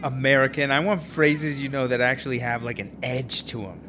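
An actor playing a stubborn, quirky, defensive language learner in a radio drama. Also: male voice, mid-range voice, steady tone, brisk, background noise, lightly acted. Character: stubborn, quirky man clumsily learning French. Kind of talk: naturalistic radio drama dialogue.

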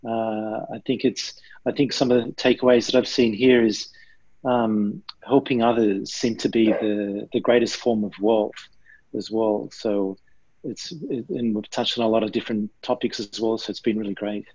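A middle-aged man giving closing remarks as host on a video call, thankful and halting. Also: on the low side, steady tone, darkish tone, slightly rough, dry recording. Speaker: host of the summit. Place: home office. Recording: dull dry video call audio.

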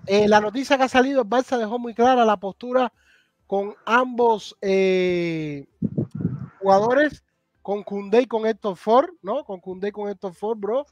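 High-voiced Cuban host, lively. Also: male voice, measured pace. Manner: animated, thanks viewers, calls guest gato and hermano. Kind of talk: casual live stream chat.

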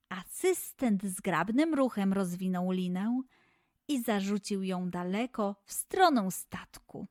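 Slow, expressive woman storyteller. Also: medium pitch, no room sound, studio quality. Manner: expressive, deliberate. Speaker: children's storyteller. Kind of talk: scripted fairy-tale narration for children.

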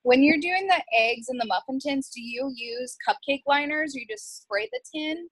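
A woman's voice: high-pitched, expressive, normal speed, a bit bright, dry recording, poor audio. A woman from the class asking the trainer a polite, direct question.

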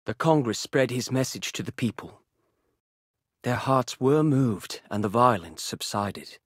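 An actor playing a solemn chronicler of war, narrating a video game story in a measured, grave and husky voice. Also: male voice, medium pitch, lively intonation, no echo, studio quality. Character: solemn male chronicler recounting a war's aftermath.

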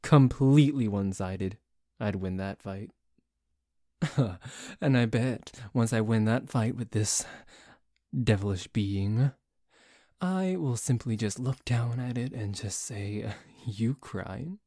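A man playing a dorky, jealous tsundere boyfriend in an ASMR roleplay, bickering haltingly with a cocky streak. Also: on the low side, warm tone, no echo, studio quality. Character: dorky, jealous, grumbling tsundere boyfriend who dislikes a cat.

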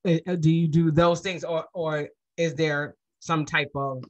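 Woman podcast host, very low voice, dry close mic. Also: fairly even intonation, unhurried, no echo. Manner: frequent short affirmations. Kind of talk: interview hosting. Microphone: podcast mic on a stand. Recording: dry, slightly dull call audio.

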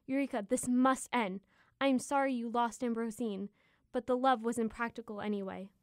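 A scornful love goddess, played by a woman, speaking imperiously in an audio drama. The voice is fairly high and formal, recorded dry. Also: fairly even intonation, moderate pace, a little mellow, no room sound, natural voice. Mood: haughty.

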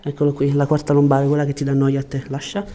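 A man in his thirties speaking as a chiropractor, giving short, gentle, reassuring instructions to a patient in a calm clinical way. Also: mid-range voice, fast. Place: indoor chiropractic office. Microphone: lapel mic. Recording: faint background room noise.

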